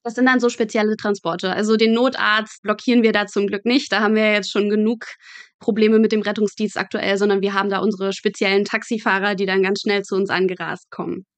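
A woman's voice: medium pitch, little pitch movement, a little fast, no room sound. A woman guest in a casual interview, explaining her work in conversational terms. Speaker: podcast guest, medical student working in pathology.

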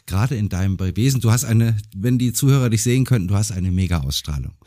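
A man's voice: lowish voice, lively intonation, fast, warm tone. A man hosting a podcast interview who encourages his guest and agrees often. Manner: encouraging, with frequent backchannel agreement. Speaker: podcast host. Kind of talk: casual interview chat.

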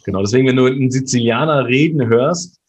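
A man's voice: mid-range voice, fast talker. A man from Germany, a guest in a casual interview, talking hesitantly with many fillers and tag questions.

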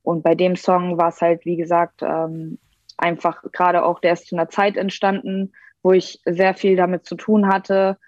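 A young woman from Berlin takes part in an interview over a video call, her voice lowish and a little muffled. She answers thoughtfully and often pauses on small fillers as she searches for words. The audio is dry and dull, like lo-fi call sound. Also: level tone, darkish tone, no room sound. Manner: thoughtful, many ähm fillers. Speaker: rapper, interview guest. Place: joining remotely.

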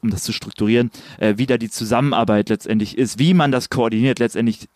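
A man's voice: mid-range voice, steady tone, quick pace, a little mellow, dry recording, clean studio sound. A man hosting a music podcast interview, asking long, winding questions full of hesitations. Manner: long winding questions with many "ähm" and "letztendlich".

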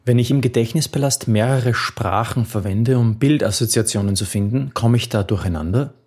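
A man's voice: mid-range voice, normal speed, darkish tone, husky. A man coaching on memory techniques, answering a viewer's question in an earnest, encouraging way with occasional restarts.

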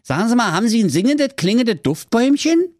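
A man voicing a cocky, brash young man in a comic fairy tale, in a raised, high voice. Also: sing-song, brisk, no echo, studio quality. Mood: eager.

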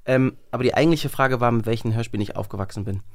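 A man's voice: mid-range voice, very fast. Young male guest, mid-range voice, loose and informal anecdotal talk, lightly amused at himself. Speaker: interview guest. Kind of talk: casual anecdotal chat.

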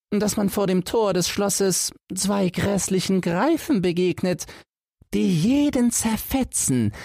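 A man voicing a storybook character who gravely tells of a curse, explaining slowly and dramatically. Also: sits high, a little mellow, no room sound, studio quality, voice pushed up, heavily acted.

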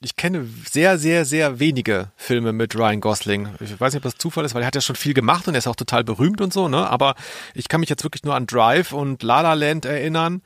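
A man's voice: medium pitch, animated, brisk, fairly bright tone, no room sound, clean studio sound. A man co-hosting a podcast in casual chat, rambling a little and correcting himself as he goes. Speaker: podcast co-host. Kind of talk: casual chat about audio dramas.

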